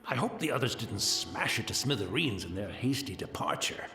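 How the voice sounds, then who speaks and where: An actor playing a fussy, overly polite elderly tea house keeper in a game. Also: male voice, mid-range voice, very animated, moderate pace, natural voice. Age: older. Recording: game dialogue, roomy, music behind.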